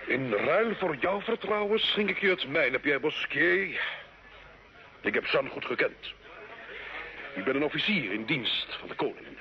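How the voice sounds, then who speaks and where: An actor playing a brooding, impatient knight in an old radio drama, curt, haughty and intense. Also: male voice, a little high, very animated, unhurried, pauses often. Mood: intense, grieving. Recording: dull, noisy old radio recording.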